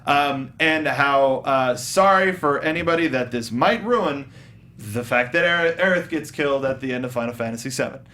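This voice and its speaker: A man hosting a casual film review panel, talking in a halting way as he rephrases his points. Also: mid-range voice, sharp tone. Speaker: host of a film review show.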